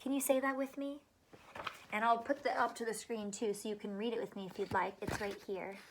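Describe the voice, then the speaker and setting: A woman's voice: a little high, fairly even intonation, brisk, background noise. A woman teacher reading a picture book aloud to children, adding encouraging asides and questions.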